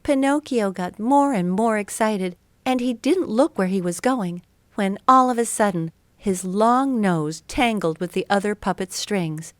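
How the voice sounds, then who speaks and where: A woman's voice: medium pitch, no echo. A woman reading a scripted children's story as a storyteller.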